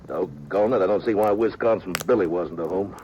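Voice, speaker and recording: An actor playing a jovial, rough-hewn western outlaw with a rural American accent and folksy talk, in 1950s radio style. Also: male voice, low voice, a little fast, natural voice. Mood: amused. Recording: dull, slightly noisy old radio recording.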